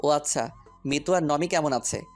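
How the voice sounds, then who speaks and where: A man's voice: fairly high pitch, normal speed, faint music behind, natural voice. Firm young businessman hero played by a man. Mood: friendly, resolved. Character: determined businessman hero, commanding boss, loyal friend. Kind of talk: audio story acting.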